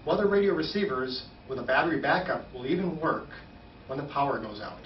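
A man in his forties giving a measured, formal, scripted informational presentation as a weather service spokesman. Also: fairly high pitch, lively intonation. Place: small indoor operations room, standing at a podium. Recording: roomy, slightly dull, distant, faint noise.

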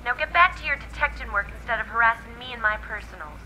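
An actress playing a defiant femme fatale, curt and scolding, in a film noir radio drama. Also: female voice, fairly high pitch, animated, fast talker, pushed and bright, slight background noise, low-quality recording. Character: defiant blonde femme fatale, detective's old flame.

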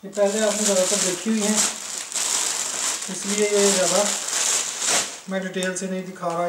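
A man from Pakistan showing clothes for sale, listing prices in a brisk, matter-of-fact way. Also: high voice, far from the mic, background noise. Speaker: online clothing seller. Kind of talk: casual product showcase. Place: indoors, filming fabrics on the floor. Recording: echoey room, somewhat off-mic.

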